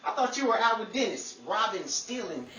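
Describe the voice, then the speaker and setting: A man's voice: high voice, very animated, moderate pace. Flustered, stammering 1940s sleuth played by a man, noisy room. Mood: exasperated. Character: Henry, a flustered, shifty male 1940s amateur sleuth. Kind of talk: 1940s radio comedy acting. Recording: roomy, distant, very noisy live recording.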